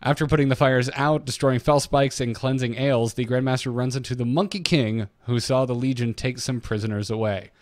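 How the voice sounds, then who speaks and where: A man's voice: medium pitch, brisk, few pauses, no echo, studio quality. A man co-hosting a podcast, recapping game lore from notes in a casual, bantering way with jokey asides. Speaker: podcast co-host.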